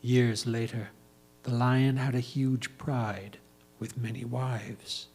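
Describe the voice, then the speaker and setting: A man tells a story in a reader's theatre style. His delivery is slow and deliberate, with theatrical stress on key words, in a medium-pitched voice. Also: fairly even intonation, a little mellow, slightly rough.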